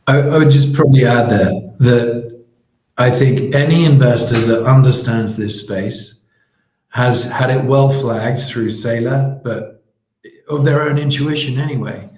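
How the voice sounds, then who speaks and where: A man's voice: mid-range voice, a little slow, a little mellow. A man speaks with confidence, stringing long persuasive sentences together in a middle-range voice. His voice rings in a roomy space with noticeable echo. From Switzerland. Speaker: panel guest. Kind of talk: live Q&A panel talk.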